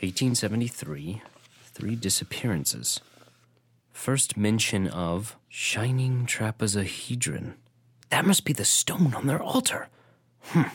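A man's voice: fairly low pitch, big pitch swings, takes it slowly, slightly rough, full character voice. An actor playing a shaken, earnest young man in a radio drama, anxious and intense. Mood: troubled, intrigued.

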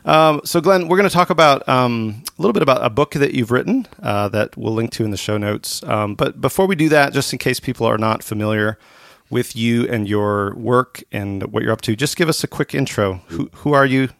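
Podcast host guy, lowish gravelly voice, super casual, kinda halting, dry sound. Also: a bit bright, no echo.

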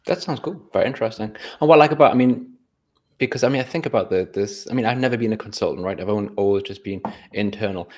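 A man in casual conversation on a podcast, relaxed and reflective, chuckling now and then. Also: fairly low pitch, fast talker. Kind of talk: casual chat. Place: indoors at home.

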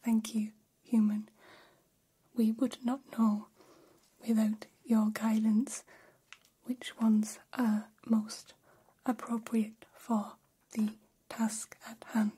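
Lady doing a gentle alien girl for asmr, really breathy whisper, super slow n even, kinda formal. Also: fairly high pitch, heavily acted. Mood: gentle, curious. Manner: slow, formal, halting, literal phrasing. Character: gentle, curious female alien fascinated by human hair. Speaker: ASMR performer. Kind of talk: whispered ASMR roleplay, personal attention.

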